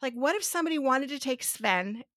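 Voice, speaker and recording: A woman's voice: sits high, expressive, fairly quick, a bit bright, dry recording, studio quality. A woman hosting a casual podcast, thinking aloud with restarts and rhetorical questions, a native Spanish speaker. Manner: explains step by step to her co-host. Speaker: podcast host.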